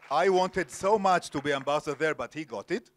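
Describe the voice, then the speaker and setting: Man moderating, hesitant and formal. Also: fairly high pitch. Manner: formal, many filler pauses. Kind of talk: onstage literary interview. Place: cultural center event hall, Jerusalem.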